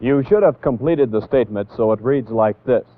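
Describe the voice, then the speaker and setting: Male American instructor, 1960s educational film narration, mid-range pitch, deliberate and emphatic on key points, narrow tinny vintage audio.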